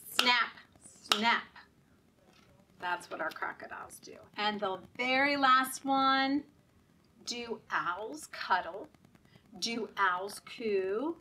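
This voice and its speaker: A woman reading picture books aloud at a children's storytime, animated and interactive, as if leading young listeners along. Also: a little high, a little hiss or hum. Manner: animated, interactive, asking children questions and prompting actions. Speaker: children's storytime reader at a library. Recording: voice at a distance from mic.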